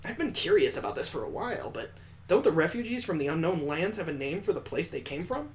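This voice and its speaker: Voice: mid-range voice, very animated, quick pace. A let's player voicing a curious, polite student in a visual novel. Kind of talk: visual novel character reading.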